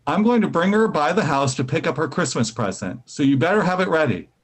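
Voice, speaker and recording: A brisk, amiable husband from a vintage radio sitcom, acted by a man. His voice is on the high side and animated, yet matter-of-fact. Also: husky. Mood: brisk, cheerful. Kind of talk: old-time radio comedy acting.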